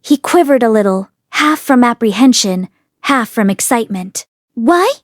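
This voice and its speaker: A woman narrator reading a story aloud in an expressive storytelling style. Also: a little high, normal speed, no echo, studio quality. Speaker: audiobook narrator.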